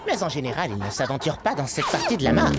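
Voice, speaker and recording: A woman's voice: deep voice, huge pitch swings, fast, heavy background noise, exaggerated voice. A woman voicing a brainy, pedantic young microbe in a French cartoon dub, speaking precisely and didactically. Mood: lecturing. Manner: didactic, precise, melodramatic when alarmed. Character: brainy, pedantic young microbe friend who explains science.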